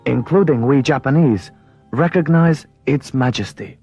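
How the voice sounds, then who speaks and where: A man playing a solemn Japanese male crew member in a puppet series, speaking gravely and slowly. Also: medium pitch, darkish tone, soft background music. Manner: grave, stately.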